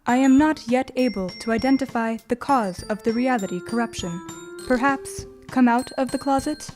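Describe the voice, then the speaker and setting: A woman voicing an impassive artificial guide, narrating a dating game in formal, measured speech. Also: fairly high pitch, a little mellow, faint music behind, natural voice. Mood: detached. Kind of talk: scripted game narration, audio drama.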